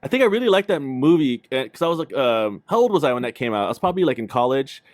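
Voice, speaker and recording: A man's voice: medium pitch, very animated, fast talker, no room sound. Casual man co-hosting, close dry sound. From Philippines. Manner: informal, slangy, searching for words. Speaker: podcast livestream co-host. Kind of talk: casual chat about movies.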